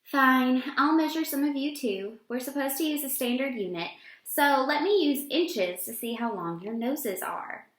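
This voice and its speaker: A woman's voice: high-pitched, unhurried, few pauses. A woman voicing an eager, playfully bossy schoolgirl in a children's storybook read-aloud. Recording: roomy, echoing sound.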